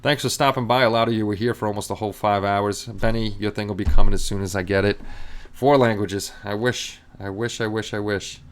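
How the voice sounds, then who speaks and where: A man's voice: fairly low pitch, steady tone, a little fast, clean tone. A middle-aged man hosting a casual live stream, rambling easily and chatting with his viewers.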